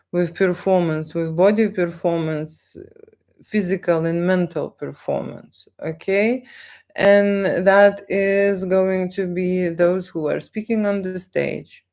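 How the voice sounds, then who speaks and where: A woman with a Lithuanian accent speaks in a lowish voice at a very unhurried pace. She hosts an unscripted live stream, circling back over phrases and pausing to check that listeners follow. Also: a little mellow. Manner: halting, repeats phrases, frequent "okay?" check-ins. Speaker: live stream host, photographer. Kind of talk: unscripted live stream chat, answering viewers.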